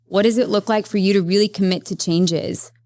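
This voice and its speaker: A woman therapist speaking as a podcast guest, explaining at length in a lively, conversational way with many fillers. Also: mid-range voice, brisk, no echo. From Las Vegas, Nevada, USA. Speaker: guest, psychedelic integration therapist. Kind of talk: conversational interview.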